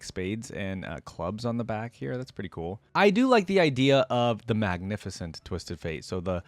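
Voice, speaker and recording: A man giving casual reaction commentary as a video host, informal and thinking out loud as he goes. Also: fairly low pitch, no echo.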